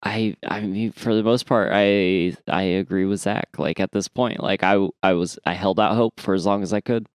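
A man co-hosting a podcast in casual comic chat, sometimes sweary and halting as he thinks aloud. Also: low voice, fairly even intonation, smooth, no room sound, clean studio sound. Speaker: podcast co-host. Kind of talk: casual comic chat, reading listener emails.

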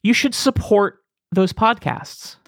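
A man's voice: high-pitched, lively intonation, slow, gravelly, no room sound, clean studio sound. Wry rambling man in his thirties. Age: 30s. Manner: rambling, stops and restarts, wry. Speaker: podcast co-host. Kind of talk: casual chat.

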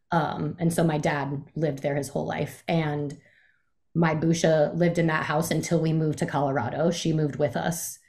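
A young woman from the Chicago area talks as a guest in a relaxed interview. Her voice sits a little low, and she rambles easily, packing her sentences with "like" and "um.". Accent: faint Midwest, mostly lost. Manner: chatty, many fillers and "like"s. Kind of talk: casual interview chat.

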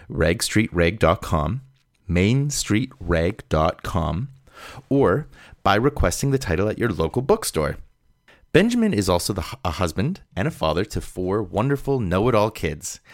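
A man with a very low voice speaks as a podcast guest host. His delivery is a conversational read from notes, captured very dry with almost no room sound. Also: no room sound. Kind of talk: conversational scripted introduction.

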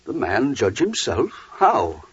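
A man's voice: medium pitch, huge pitch swings, slow, husky, no echo, exaggerated voice. An actor playing a troubled, dutiful older father in a biblical 1940s radio drama, grave and weighty. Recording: muffled 1940s radio recording.

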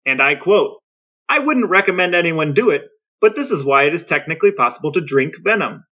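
A man co-hosting a podcast, sharing facts in a dry, deadpan way during banter. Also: on the high side, fairly quick. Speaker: podcast co-host.

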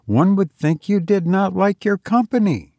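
A man's voice: high-pitched, lively intonation, a little slow, darkish tone, no room sound, studio quality, pitched above the performer's own voice. A man voicing a young woman in an audiobook, girlish and emotional, tender and easily startled. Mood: affectionate, teasing. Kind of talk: audiobook character voice.

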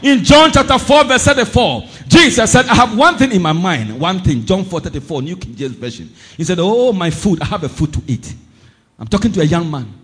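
High-voiced pastor guy preaching hard, bright and forceful, shouts then drops low. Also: lively intonation, brisk. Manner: impassioned preaching, swings between shouted declarations and low asides. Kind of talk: sermon. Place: church service.

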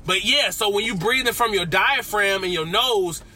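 A man's voice: sits high, moderate pace. A young man, talking to the camera in a rambling, slangy, self-coaching way while driving his car. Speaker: vlogger. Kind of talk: casual self-talk vlog. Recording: loud car road noise.

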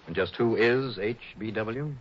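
An actor playing a wry, tough insurance investigator who is dry, blunt and wisecracking, in a 1960s radio drama. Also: male voice, fairly low pitch, unhurried, dull audio, noisy background, natural voice.

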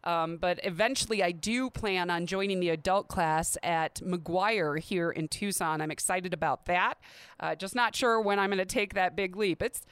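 A woman's voice: lowish voice, normal speed, a bit bright, clear, resonant tone, dry recording. Chatty woman podcast host. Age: 30s. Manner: chatty, candid, self-deprecating. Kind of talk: casual solo podcast talk.

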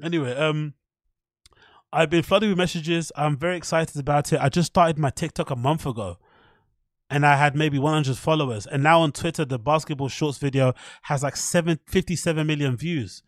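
A man's voice: on the high side, moderate pace, no room sound, studio quality. A man with a British accent hosting a podcast, rambling casually in slangy, sweary, irreverent commentary as he reads things out and reacts. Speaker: podcast host.

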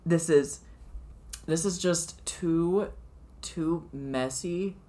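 A man's voice: fairly high pitch, very slow, mellow. A man in his early twenties co-hosting a podcast, chatting casually and playfully in a chaotic, comedic way and often cutting in. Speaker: podcast co-host. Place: bedroom. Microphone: podcast microphone shared by two.

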